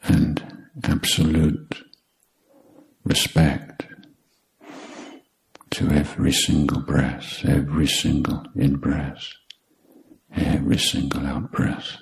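Male meditation teacher, extremely low gravelly voice, measured delivery in short phrases with long silences. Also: flat delivery, low-quality recording. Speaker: meditation teacher, Buddhist monk. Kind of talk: guided meditation instruction.

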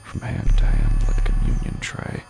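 A man playing the brooding narrator of a religious horror audio drama, speaking slowly and solemnly with intensity, at times near a whisper. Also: background noise, close to an everyday voice. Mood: solemn, tormented. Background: music with guitar.

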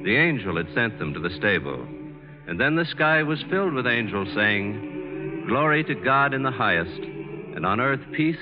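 A man's voice: mid-range voice, sing-song, normal speed, over music. Solemn male narrator, muffled old radio.

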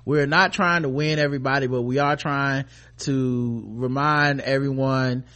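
A man's voice: mid-range voice, steady tone, unhurried, sharp tone. An American man hosting a podcast, giving casual, comedic news commentary in an irreverent, sarcastic, sweary way. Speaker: podcast host.

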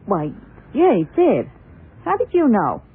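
A hesitant but helpful theater dresser in an old radio play. Her voice is very husky and swings widely in pitch, heard through a thin, phone-like vintage recording. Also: huge pitch swings, measured pace, heavily acted. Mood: hesitant, earnest. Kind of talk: 1970s radio drama acting.

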